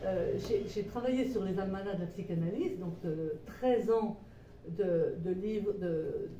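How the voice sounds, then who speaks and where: Dark-voiced woman speaking from a distance. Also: mid-range voice, unhurried, lo-fi sound, slight background noise. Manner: digressive, hesitant storyteller with many restarts. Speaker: guest author presenting her book. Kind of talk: informal book talk to a small audience. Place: meeting room with a live audience. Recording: roomy echo, voice far from mic.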